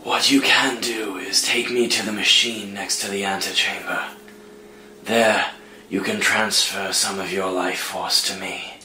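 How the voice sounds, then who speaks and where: A man playing a ruthless, grandiose old mastermind with a weak, raspy voice, gloating and deliberate, in a dramatic screenplay read. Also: sits low, steady tone, sharp tone, recorded from a distance, a little hiss or hum, full character voice. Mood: frail coaxing. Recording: roomy sound with music underneath.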